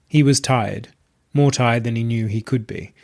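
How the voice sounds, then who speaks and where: Male short story narrator, medium pitch. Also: normal speed, creaky. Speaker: narrator and podcast host.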